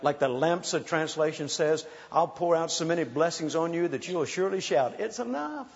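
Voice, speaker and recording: High-voiced preacher in his sixties. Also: animated, normal speed, creaky. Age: 60s. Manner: exhorting, calls for praise, playful storytelling. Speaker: pastor. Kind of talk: sermon. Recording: muffled sound in a large reverberant hall.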